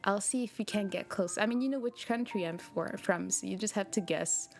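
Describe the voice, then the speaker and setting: A woman's voice: medium pitch, a little fast, gravelly. A young woman from Quebec with a French Canadian accent, chatting casually with her livestream viewers, laughing often as she answers their messages. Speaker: streamer. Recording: music playing behind. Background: background music.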